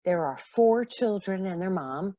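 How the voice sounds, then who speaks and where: A woman's voice: mid-range voice, expressive, unhurried, warm tone, no echo, lo-fi sound. A woman acting a caring, middle-aged mother in a radio play. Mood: earnest, kindly. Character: caring, generous mother, a singer struggling with money.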